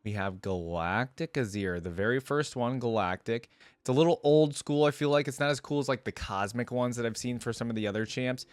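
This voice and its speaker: A man's voice: medium pitch, fairly quick, dry recording, studio quality. A man hosting a reaction video, giving casual commentary in an informal, rambling way as he thinks aloud. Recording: music playing behind the voice. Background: music.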